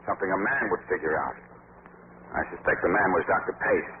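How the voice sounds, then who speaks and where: A man's voice: sits low, expressive, brisk. An actor playing a brusque, confident, skeptical male police commissioner in 1940s radio drama, speaking with blunt authority. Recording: noisy, tinny 1940s radio recording.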